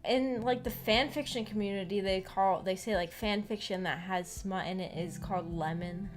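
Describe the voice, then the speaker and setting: A giggly woman hosting a book club stream, chatting casually with viewers and musing out loud. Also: mid-range voice, steady tone, normal speed, faint music behind. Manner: musing, thinking aloud.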